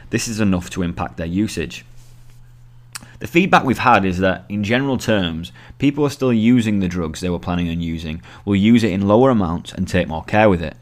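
A man narrating a scripted long-form article as the journalist who wrote it. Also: deep voice. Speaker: journalist reading own article.

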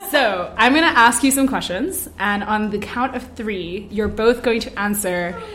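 A woman's voice: medium pitch, a little slow, fairly bright tone. A woman hosting a podcast in a casual interview chat, upbeat and chatty, laughing often and leaning on "like". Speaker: podcast host. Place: indoor room with guests.

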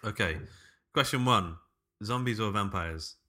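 A man with a slightly low, bright and forward voice. He co-hosts a podcast interview, talking casually and informally, as if chatting with friends. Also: unhurried. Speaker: podcast co-host.

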